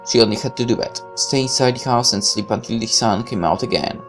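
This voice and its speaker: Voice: medium pitch, brisk, music in the background. A person reading a visual novel aloud with casual asides, now and then stumbling over a line and correcting it.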